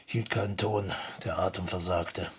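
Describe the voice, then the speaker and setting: An actor playing a proud, exacting baroque musician in a German radio drama, husky and creaky, with formal old-fashioned phrasing. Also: male voice, sits low, steady tone, measured pace, exaggerated voice. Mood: defensive, strained. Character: proud, exacting baroque musician, defensive and devoted to his art.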